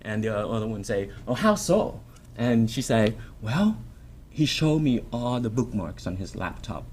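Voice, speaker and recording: A man's voice: medium pitch, big pitch swings, a little slow, darkish tone, slight background noise. A man in his thirties whose first language is Vietnamese, answering audience questions at a podium mic, thoughtful, with many ums and restarts. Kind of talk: public Q&A. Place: lecture room at a podium.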